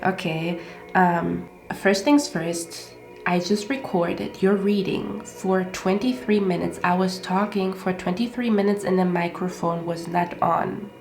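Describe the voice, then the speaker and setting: A woman's voice: fairly low pitch, measured pace, vocal fry, a little mellow. Congested woman doing a tarot reading. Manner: repetitive, often checks in with "okay?". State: stuffy congested nose. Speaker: tarot card reader. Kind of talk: casual tarot reading monologue. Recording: roomy echo with faint music.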